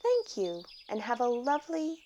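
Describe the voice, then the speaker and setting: A woman reading a children's picture book aloud, with deliberate, expressive phrasing for young children. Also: fairly high pitch, lo-fi sound, a little hiss or hum. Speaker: storybook reader.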